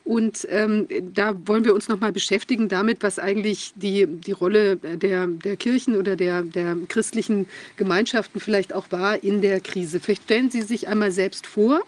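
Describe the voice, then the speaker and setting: Female host, mid-pitched, halting, filler-heavy moderating style. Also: steady tone, a little mellow. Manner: searches for words with many fillers. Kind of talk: hesitant moderating.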